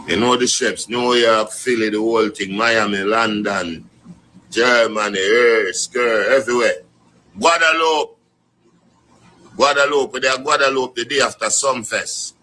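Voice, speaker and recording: A man with a Jamaican accent giving relaxed, rolling shout-outs to fans in casual patois on a live stream. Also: mid-range voice, rough recording.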